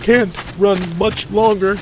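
A man's voice: high-pitched, flat delivery, slow, noisy background, voice pushed up. A man voicing a chubby, defiant boy in a fantasy audiobook, blustery, complaining and sarcastic. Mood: weary. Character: defiant, grumbling, chubby boy with a cheeky temper.

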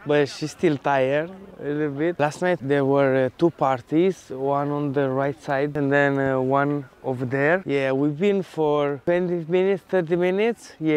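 A man's voice: on the high side, a little mellow, clean tone. A man in his thirties chatting casually to camera outdoors as a travel vlogger. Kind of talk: casual vlog talk to camera. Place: outdoors, open beach and lakeside woodland.

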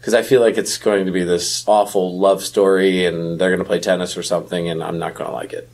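A middle-aged man chatting casually about films as a podcast co-host, talkative and quick to laugh. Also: low voice, level tone, darkish tone.

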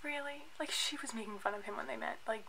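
A woman in her twenties with an American accent, chatting casually and giving opinions in an animated way as a vlogger. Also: on the high side, fast talker, background noise. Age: 20s. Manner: opinionated, animated, filler-heavy with "like". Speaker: YouTube vlogger reviewing a reality show. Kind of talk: casual chatty vlog commentary. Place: small indoor room at home, by a bookshelf.

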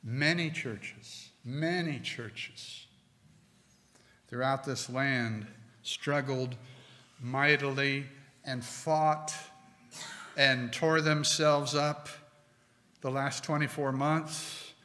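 A middle-aged man preaching a sermon from a pulpit, slow and deliberate with emphatic pauses. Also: medium pitch, fairly even intonation, bright, forceful tone. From United States. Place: church sanctuary with stone wall, at a pulpit. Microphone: pulpit microphone. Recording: long hall reverb.

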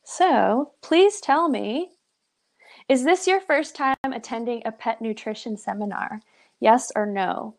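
A young woman with a slightly high voice leads a live online seminar from a room at home. She speaks into her webcam mic in an upbeat, encouraging way and keeps linking her points with "so". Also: slow pace. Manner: upbeat, encouraging, with frequent "so" and "awesome". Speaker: brand representative presenting a pet nutrition webinar. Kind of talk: live webinar presentation. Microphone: webcam on a video call.

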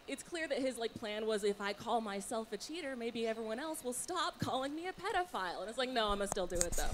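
A woman's voice: medium pitch, noisy background. A woman comedian performing a stand-up set on a small stage with a handheld mic, biting and sarcastic. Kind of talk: stand-up routine. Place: small stage with curtain backdrop.

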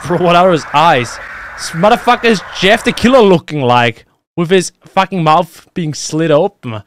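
Young man reacting, slightly high-pitched voice. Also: animated, normal speed, a little hiss or hum. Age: young adult. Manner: exclamatory, slangy, sweary. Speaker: YouTube reaction host. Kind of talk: casual reaction commentary. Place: small indoor gaming room at home. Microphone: close desk microphone on boom arm.

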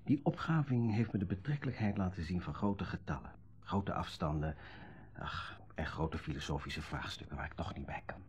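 An actor playing a thoughtful, modest young man with a quietly philosophical temper in a radio play. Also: sits low, moderate pace, slightly rough. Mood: reflective. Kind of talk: Dutch radio play acting, naturalistic dialogue. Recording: dull old radio recording.

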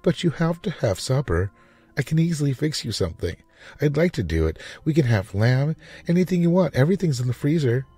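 A man's voice: fairly high pitch, very animated, fairly quick, warm tone, breathy edge, soft background music, voice pushed up. A man voicing a devoted, anxious housewife who fusses and coaxes in a storybook reading. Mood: anxious pleading. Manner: fussing, coaxing. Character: devoted, anxious, eager-to-please young housewife.

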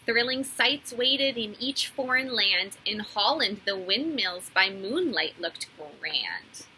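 A woman in her thirties reading a children's story aloud like a teacher, in a deliberate, animated storytelling way. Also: medium pitch, a little hiss or hum, rough recording. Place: small indoor room.

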